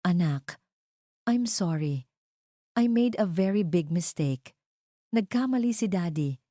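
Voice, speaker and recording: A woman voicing a gentle, earnest, remorseful father talking to his child in an audio drama. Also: on the low side, animated, slow pace, no echo, studio quality.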